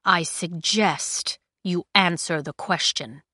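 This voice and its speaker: A woman's voice: lowish voice, takes it slowly, bright, forceful tone, husky, dry recording, studio quality, full character voice. A woman reading aloud, voicing an impatient female interrogator. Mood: cold, impatient.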